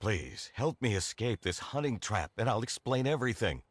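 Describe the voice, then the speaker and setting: An actor playing an earnest, grateful uncle in scripted fantasy game dialogue. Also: male voice, on the low side, sing-song, normal speed, slightly rough, no room sound. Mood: relieved, apologetic. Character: grateful, earnest uncle freed from a fox curse.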